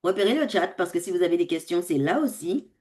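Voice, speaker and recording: Animated woman hosting an online meeting. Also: fairly low pitch. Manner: animated, laughs often, speeds up and slows down. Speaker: host of a parents' club. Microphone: video call.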